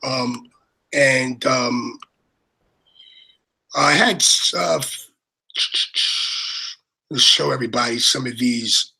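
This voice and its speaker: A man from Brooklyn with a New York accent, chatting casually over a video call, swearing and excitedly repeating "you know what I mean". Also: mid-range voice, extremely slow, gravelly, long pauses, husky, poor audio.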